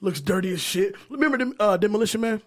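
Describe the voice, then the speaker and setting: A man's voice: sits high, expressive, fast talker. A man chatting casually on a podcast panel, blunt and joking, with slang and swearing. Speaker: podcast panelist leading the discussion. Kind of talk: casual profane banter about movies.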